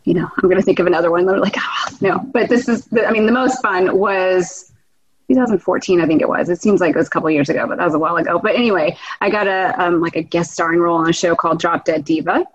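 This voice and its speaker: A middle-aged woman talks as a podcast guest in a husky, somewhat low voice. She is easygoing and down-to-earth, and the audio sounds dull and lo-fi. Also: fast talker. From Texas, grew up in Houston. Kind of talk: casual interview chat.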